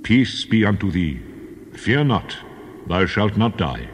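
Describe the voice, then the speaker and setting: An actor playing the Lord God in a dramatized scripture reading, a solemn, authoritative divine voice. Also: male voice, fairly low pitch, sing-song, slow, a little hiss or hum. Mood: solemn, assuring. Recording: long cavernous reverb.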